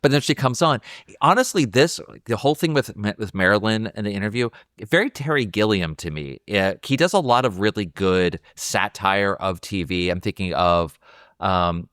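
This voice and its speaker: Male podcast co-host, fairly low voice, dry sound, amused and emphatic film talk with halting starts. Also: lively intonation, a bit bright, smooth, no echo. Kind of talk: casual film discussion chat.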